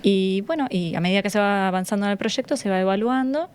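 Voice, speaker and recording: A woman's voice: mid-range voice, fast talker, clear, resonant tone. A woman with a Uruguayan accent, a schoolteacher explaining things conversationally as a studio guest, often searching for words. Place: radio studio. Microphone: studio microphone.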